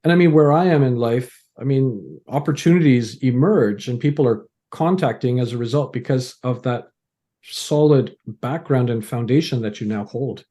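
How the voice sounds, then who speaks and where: A man's voice: mid-range voice, normal speed, mellow. A middle-aged man from Canada, a podcast guest talking casually, explaining ideas through stories and analogies with frequent restarts. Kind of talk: casual interview conversation.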